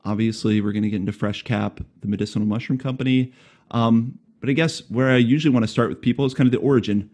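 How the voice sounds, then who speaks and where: A man's voice: mid-range voice, quick pace, a little mellow. A man hosting a podcast, reading a prepared intro and then asking rambling, friendly questions with light jokes. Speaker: podcast host. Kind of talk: scripted intro, then casual interview.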